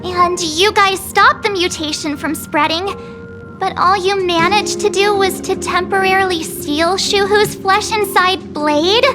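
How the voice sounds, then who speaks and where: A chatty teenage girl adventurer from a game, extremely high-pitched. She is loyal, a little clingy and melodramatic, with clear music playing behind her. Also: steady tone, unhurried. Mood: curious. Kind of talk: video game dialogue.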